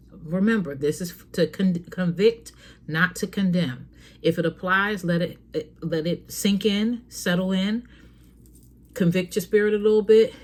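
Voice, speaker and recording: Low voiced lady host talking straight at her listeners, frank and a bit preachy. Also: a little mellow. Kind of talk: casual advice talk.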